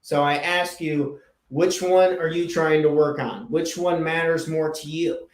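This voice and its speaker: A man's voice: a little high, steady tone, unhurried, reverberant. A man hosting his own show, giving earnest, emphatic motivational talk and repeating his key words for stress. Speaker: podcast host and life coach. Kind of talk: casual motivational monologue.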